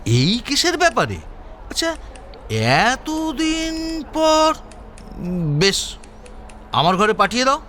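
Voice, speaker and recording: Sceptical police chief, high-pitched and forceful, brisk questioner in a detective radio play. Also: male voice, big pitch swings, slight background noise, exaggerated voice. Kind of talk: dramatised detective audio story acting.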